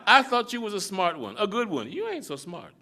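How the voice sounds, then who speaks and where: A gruff, scolding older mechanic, put on by a male reader. He drawls and lectures in a rough, forceful voice with a bright edge, pushed higher and louder than a plain reading. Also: huge pitch swings, fairly quick, a faint crowd, full character voice.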